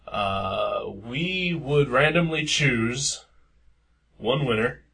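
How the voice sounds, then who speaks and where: A man's voice: mid-range voice, animated, very slow, gravelly, pushed and bright, slightly rough. A young man co-hosting a podcast in casual chat, rambling with lots of fillers. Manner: rambling, hesitant, many fillers. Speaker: podcast co-host.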